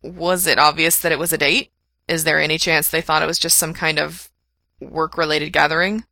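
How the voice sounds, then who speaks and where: Woman voicing a forceful, flustered male punk radio DJ. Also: sits low, level tone, moderate pace, gravelly, no room sound, studio quality, heavily acted. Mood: flustered, eager. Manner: emphatic, blunt, on-air patter.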